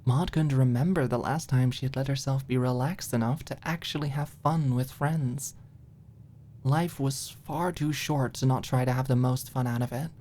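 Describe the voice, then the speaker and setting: A woman narrating a story as a scripted audiobook, in a soft, expressive storytelling manner. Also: rumbling low, normal speed, slight background noise.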